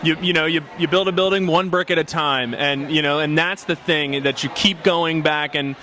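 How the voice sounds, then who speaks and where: A man's voice: a little high, steady tone, moderate pace, sharp tone. A male pop singer giving earnest, motivational interview answers.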